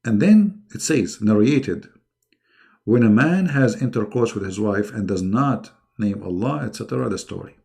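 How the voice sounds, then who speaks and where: A man's voice: on the low side, lively intonation, moderate pace, gravelly, warm tone. A middle-aged man who speaks English as a second language hosts a live online debate in a mocking, emphatic, sarcastic way. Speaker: debate host.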